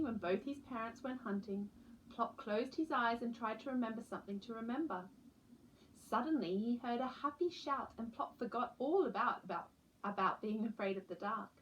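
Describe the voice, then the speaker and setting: A woman's voice: fairly high pitch, moderate pace, a little hiss or hum, rough recording. A woman reading a children's story aloud as a storyteller. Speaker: storyteller, teacher.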